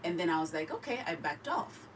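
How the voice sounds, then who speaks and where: A Zimbabwean woman with a Zimbabwean accent, a radio guest telling her own story in a candid, animated way. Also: lowish voice, brisk, recorded from a distance, a little hiss or hum. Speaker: radio guest, cancer survivor. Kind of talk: personal story told in a radio interview. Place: radio studio.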